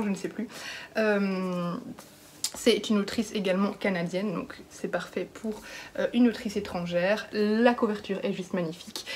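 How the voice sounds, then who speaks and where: A woman vlogger chatting about her reading list in a relaxed, unscripted way. She pauses often on fillers and corrects herself mid-sentence. Her voice sits at an ordinary middle pitch, with a faint hum of room ambience behind her. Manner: hesitant, many fillers and self-corrections. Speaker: book vlogger. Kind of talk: casual book talk to camera.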